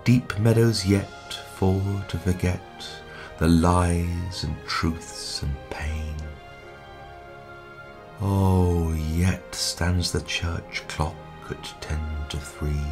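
A man giving a dramatic poetry recitation in a measured, expressive way, with hushed line endings. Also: low voice. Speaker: poetry reader. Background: music.

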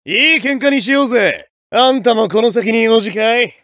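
A brash high-voiced young samurai. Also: male voice, moderate pace, a bit bright, no room sound, clean studio sound. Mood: cocky. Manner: cocky, rough Edo-style street talk. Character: brash swaggering young male samurai brawler. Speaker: game character voice. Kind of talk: fighting game voice clips. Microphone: dry studio mic.

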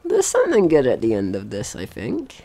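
A man's voice: mid-range voice, wildly swooping pitch, brisk, creaky, dark tone. A man giving casual let's play commentary, chatty and jokey, talking to himself and the game's enemies. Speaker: gaming channel host.

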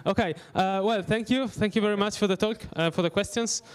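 A man's voice: high voice, moderate pace, clear, resonant tone. A man moderating a conference session, wrapping up questions hesitantly and announcing a break. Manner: hesitant, light laughter. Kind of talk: event moderation. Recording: long hall reverb.

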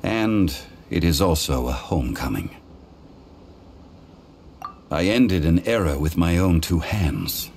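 A man playing a calm, dignified ancient god in a video game, speaking formally and with measured solemnity. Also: sits low, gravelly, a little hiss or hum, heavily acted. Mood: solemn, reflective. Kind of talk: scripted video game dialogue.

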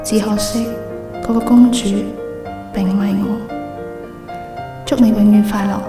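An actress playing a wistful young woman reading out a farewell confession in a radio drama, gentle, reflective and sorrowful. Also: medium pitch, little pitch movement, a little slow, close to an everyday voice. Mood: sad, grateful. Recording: roomy, distant voice over music. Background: music.

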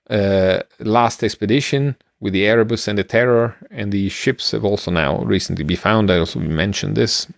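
A middle-aged man co-hosting a podcast, explaining things casually in a halting, rambling way. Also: fairly low pitch, creaky, dry recording, studio quality. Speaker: podcast co-host. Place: small room at home, on a video call. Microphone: close microphone, headphones.

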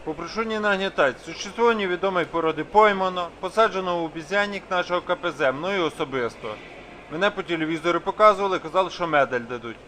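A man's voice: sits high, fast talker, sharp tone, a little hiss or hum. A man voicing a self-important cartoon police lieutenant, boastful and officious in manner. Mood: pompous, boastful. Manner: pompous, bureaucratic, boastful.

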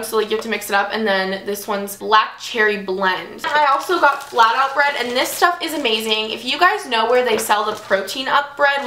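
A young woman chatting casually and upbeat as she shows her groceries, with lots of "like" and quick asides. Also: on the high side, noisy background. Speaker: fitness vlogger. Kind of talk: casual grocery haul vlog. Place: small bedroom, indoors. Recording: echoey room.

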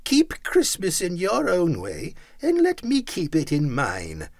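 A man's voice: high-pitched, wildly swooping pitch, slow, no echo, pitched above the performer's own voice, exaggerated voice. A scornful old miser snaps and growls, as a man reading the tale puts him on. His voice swings sharply up and down, stern and bitter. The sound is close and dry.

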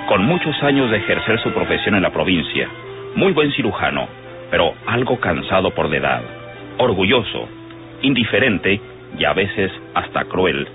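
A man's voice: deep voice, very animated, normal speed. A man giving dramatic scripted narration for an old radio serial. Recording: tinny old radio recording, loud music. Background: music.

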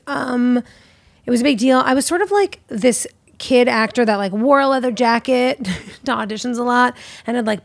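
A woman in her thirties, a podcast guest, chatting in a lively, teasing way, seated in a living room at a boom-arm studio mic. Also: gravelly, no echo. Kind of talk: casual chat. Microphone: studio mic on boom arm, headphones.

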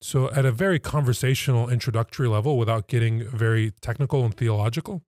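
A man in his thirties, a podcast guest explaining things conversationally in measured, emphatic phrases. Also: medium pitch, darkish tone, no room sound, studio quality. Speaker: podcast guest, pastoral associate. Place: small indoor podcast studio. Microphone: close studio mic on stand.

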